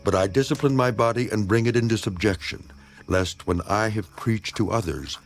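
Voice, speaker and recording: An actor playing an earnest apostle in a dramatized scripture reading, speaking solemnly and emphatically in a rhetorical manner. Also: male voice, lowish voice, normal speed, natural voice. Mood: earnest, exhorting. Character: apostle Paul, earnest man defending his ministry. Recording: music behind the voice. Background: music.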